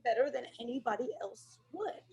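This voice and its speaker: A woman hosting a casual author panel, upbeat and animated. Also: fairly high pitch, moderate pace, vocal fry, slightly rough.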